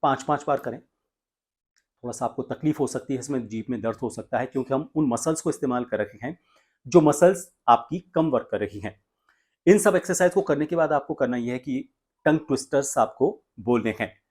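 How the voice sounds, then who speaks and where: An Indian man working as a voice coach, giving instructions in a deliberate, explanatory way with frequent pauses mid-sentence. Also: medium pitch, darkish tone, slightly rough. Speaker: voice coach and presenter. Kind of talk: instructional tutorial, explaining exercises step by step.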